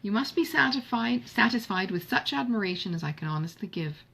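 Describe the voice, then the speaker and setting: A woman voicing a modest, dry young gentleman in an audiobook of a Regency novel, with formal, measured phrasing. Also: medium pitch, lively intonation, gravelly, slightly rough, full character voice, low-quality recording. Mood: dryly self-deprecating. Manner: measured, emphatic listing, formal period diction. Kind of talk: audiobook character dialogue.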